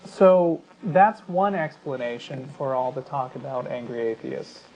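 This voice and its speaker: A man co-hosting a talk show, explaining his points in a measured, analytical, conversational way. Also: medium pitch, a little mellow. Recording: slightly dull audio with faint noise.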